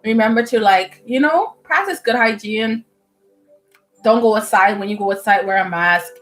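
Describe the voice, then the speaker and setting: A young woman from the Bahamas chats loosely with her livestream audience, her voice sitting at a middle pitch. She talks in an animated way with plenty of fillers and easy laughter. Soft music plays quietly behind her in a room at home. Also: moderate pace. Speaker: medical student livestreamer. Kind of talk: casual livestream chat with viewers. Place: indoors at home.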